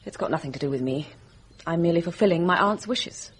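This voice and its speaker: An actress playing a composed, formal young gentlewoman hiding hurt in a British radio mystery drama. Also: fairly low pitch, quick pace, slightly rough. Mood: formal, reserved. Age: young adult. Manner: controlled, formal, decisive.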